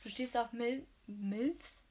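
A nosy young woman from a novel, voiced by a woman reading aloud, in a slightly high voice. She teases and probes with incredulous questions. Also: slow pace, darkish tone. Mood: incredulous, teasing. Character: nosy, teasing young woman quizzing a friend.

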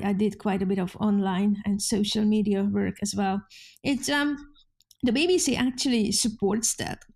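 A woman's voice: mid-range voice, a little mellow. A Hungarian woman in her fifties with a Hungarian accent, a journalist telling her story as a podcast guest in loose conversational speech. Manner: conversational, many restarts, frequent laughter. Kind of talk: podcast interview.

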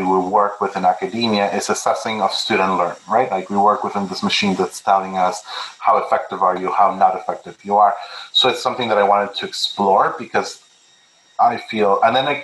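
Youngish guy from peru, kinda low voice, earnest, stumbles and repeats words, roomy echo. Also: a bit bright, slight background noise. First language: Spanish. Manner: earnest, repeats words when starting thoughts. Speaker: guest researcher. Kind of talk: casual interview talk. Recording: echoey room.

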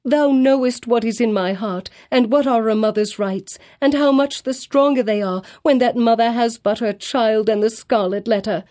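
Frantic young mother, high and pleading, read by a woman narrator. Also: unhurried, warm tone, close to an everyday voice. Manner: pleading, rising to a near shriek. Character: desperate young mother defending her child.

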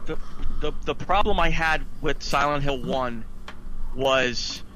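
A man's voice: mid-range voice, slow, sharp tone, slight background noise. A man co-hosting a podcast, giving blunt, complaining criticism in casual conversation. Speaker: podcast co-host. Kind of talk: casual chat, film criticism.

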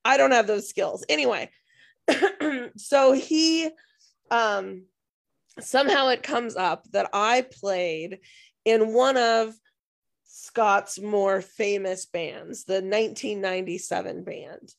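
A woman co-hosting a podcast, hoarse and losing her voice, telling a story in a rambling, self-interrupting way. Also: mid-range voice, slow. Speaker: podcast co-host and music teacher. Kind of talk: casual podcast chat.

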